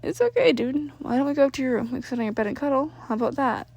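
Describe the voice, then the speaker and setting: A woman's voice: high voice, very fast, creaky, a little mellow, slightly rough, no room sound, exaggerated voice. Woman voicing a casual, blunt teenage boy. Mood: soothing. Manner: casual, dude-heavy slang. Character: laid-back, blunt teenage boy, a loyal friend.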